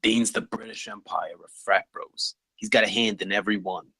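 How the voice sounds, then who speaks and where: A man's voice: lowish voice, steady tone, moderate pace, sharp tone, husky, heavily acted. A man playing a grumbling, frustrated guy at a comedy script table read. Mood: exasperated.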